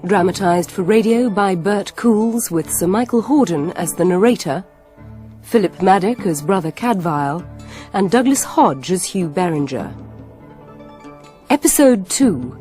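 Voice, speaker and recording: A woman's voice: mid-range voice, lively intonation, a little slow, dark tone. A woman with a British accent making a formal, scripted announcement as a radio announcer. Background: music.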